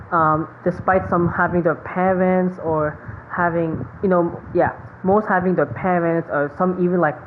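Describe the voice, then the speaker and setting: Halting Filipino woman streamer, phone-like sound. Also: lowish voice. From Philippines. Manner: rambling, halting, repeats phrases. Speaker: game streamer. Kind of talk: casual game playthrough commentary. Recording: phone-like narrow sound, slight noise.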